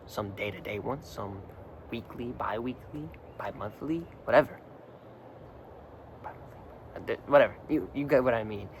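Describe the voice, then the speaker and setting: A young man in casual talk to the camera, slightly hoarse from singing the night before, rambling earnestly and repeating his words. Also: mid-range voice, a little hiss or hum. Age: young adult. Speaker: vlogger. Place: outdoors, hot and sunny.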